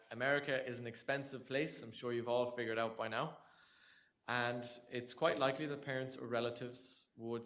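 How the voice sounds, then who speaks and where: A man's voice: mid-range voice, a bit bright. A young man with an Irish accent, presenting a tax information session to a room of students in a conversational, joking way. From Ireland. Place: lecture room with an audience.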